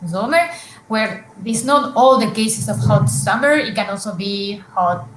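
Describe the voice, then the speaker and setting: A woman's voice: medium pitch, lively intonation, unhurried, reverberant, noisy background. A woman presenting research at an academic conference, explaining examples step by step with frequent fillers and restarts over a video call.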